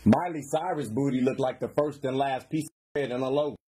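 A man's voice: mid-range voice, a little fast, mellow. A man in his thirties telling crude jokes casually as a podcast host, irreverent and prone to chuckling. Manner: irreverent, chuckling between lines. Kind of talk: casual joke-telling, crude roast humour. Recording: clear background noise, clicks.

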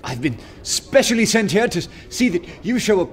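A cool agent bluffing his way along in a radio play. His voice is high for a man and very lively, with an arch manner. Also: moderate pace, a little hiss or hum. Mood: coolly bluffing. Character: smooth-talking male agent bluffing as visiting inspector. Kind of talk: full-cast radio drama acting.

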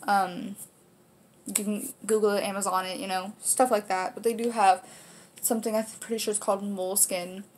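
A woman's voice: mid-range voice, flat delivery, normal speed, darkish tone, a little hiss or hum. A nineteen-year-old woman with an American accent, chatting casually to camera in an informal, rambling way as she hosts a video. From United States, Kansas. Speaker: video host. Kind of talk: casual vlog chat to camera. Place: small room indoors.

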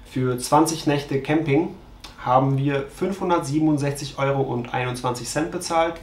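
A man in his thirties, a travel vlogger, calmly going through trip costs to a camera in a bedroom, glancing at his notes. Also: mid-range voice, roomy sound. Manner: matter-of-fact, reads figures from notes. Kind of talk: scripted cost breakdown to camera. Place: bedroom with mosquito net, indoors.

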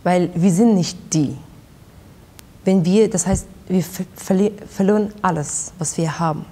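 A young woman from Iran, a guest in a studio interview, speaking haltingly and searching for her words. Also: fairly low pitch, warm tone. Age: young adult. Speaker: guest, activist. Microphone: lapel.

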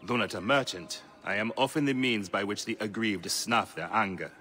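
An actor playing a gentle, weary male village priest who speaks politely in a video game. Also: lowish voice, husky. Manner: polite, measured, courteous.

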